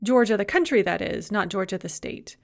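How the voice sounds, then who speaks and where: A woman's voice: mid-range voice, animated, a little fast, vocal fry. A middle-aged woman giving a recorded university lecture in a conversational way, with frequent filler sounds.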